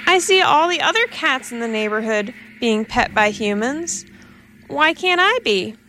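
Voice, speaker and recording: Small fluffy male kitten, whiny and naive, high voice, played by a woman. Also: expressive, measured pace, noisy background. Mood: whining. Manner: whining, pleading.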